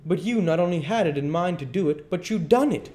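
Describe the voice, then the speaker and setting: A man's voice: fairly high pitch, lively intonation, moderate pace, dark tone, voice pushed up, natural voice. A man voicing a domineering, scornful swindler who scolds in folksy rural speech, in a dramatised audiobook. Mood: furious.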